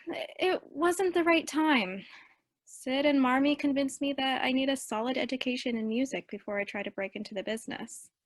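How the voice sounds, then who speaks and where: Radio play older sister: high-pitched, caring, reassuring, practical. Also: female voice, moderate pace. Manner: reassuring, matter-of-fact.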